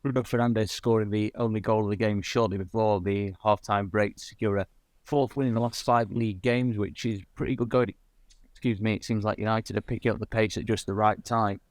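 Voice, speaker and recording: Smooth English podcast host, dry sound. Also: male voice, on the low side, fairly even intonation, fairly quick, dry recording. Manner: smooth, scripted-sounding links and questions. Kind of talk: presenting a football podcast.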